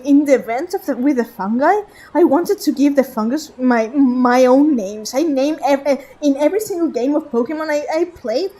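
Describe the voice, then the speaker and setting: Woman streamer, rambling, giggly, fairly high voice, casual game commentary. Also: darkish tone. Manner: rambling, laughing, many fillers and restarts.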